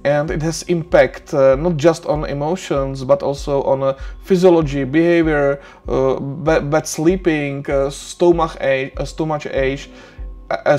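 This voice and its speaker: A middle-aged Czech-accented man gives a recorded lecture from his desk, his voice sitting a little high. He speaks haltingly, filling gaps with "uh" and restarting phrases. Faint music plays quietly underneath. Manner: hesitant, many "uh" fillers and restarts, non-native phrasing. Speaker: university lecturer. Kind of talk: recorded online lecture over slides. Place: small room indoors.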